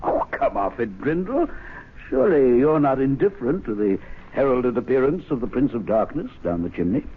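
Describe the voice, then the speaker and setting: A pompous, urbane club president in an old radio play. His husky voice rises and falls archly in formal phrases, over a tinny broadcast. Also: medium pitch, big pitch swings. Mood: archly probing. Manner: formal, arch, deliberate wording. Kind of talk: radio drama acting.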